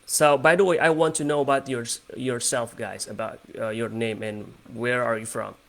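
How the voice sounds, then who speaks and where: A man's voice: mid-range voice, fairly even intonation, normal speed, vocal fry, a bit bright. A man with an Indonesian accent, hosting a casual English conversation practice on a video call, hesitant and full of fillers. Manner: hesitant, many fillers and restarts.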